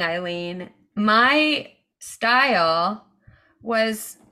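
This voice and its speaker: A woman's voice: medium pitch, bright, forceful tone. A woman in her forties hosting a podcast, chatty and thinking aloud. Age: 40s. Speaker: podcast host. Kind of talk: casual podcast chat.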